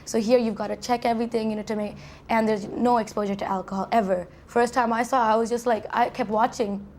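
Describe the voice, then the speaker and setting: A woman's voice: fairly high pitch, fairly even intonation, fast, a little mellow, slight background noise. A young woman who grew up in Saudi Arabia, answering interview questions in a chatty way, full of restarts and "like" fillers, in a university dorm. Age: young adult. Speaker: college student guest.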